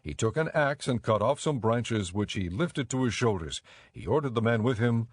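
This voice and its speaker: A man narrating a dramatized audio Bible in a measured, clearly enunciated storytelling style. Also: mid-range voice, animated, no echo, studio quality.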